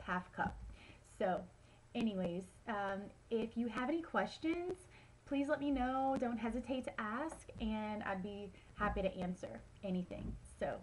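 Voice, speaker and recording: A woman's voice: mid-range voice, a little hiss or hum, poor audio. A woman in her thirties giving casual how-to tips to a webcam at home, chatty with many ums and likes. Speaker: home wellness vlogger. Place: small room at home, indoors. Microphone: webcam at close range.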